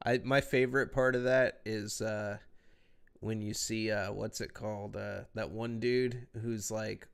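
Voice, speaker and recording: A nasal-voiced man talks loosely on a podcast, dropping swear words and laughing easily. His voice comes through smooth and clear. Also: on the low side, fairly even intonation, a little slow. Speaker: podcast co-host. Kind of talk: casual chat.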